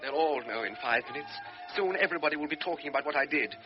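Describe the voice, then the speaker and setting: Actor as remorseful young lieutenant, inner monologue, old radio drama. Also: male voice, fairly high pitch, animated, quick pace, over music, close to an everyday voice. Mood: brooding. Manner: inward, brooding first-person monologue. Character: guilt-ridden young lieutenant recalling his shame. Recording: dull old radio broadcast, faint hiss.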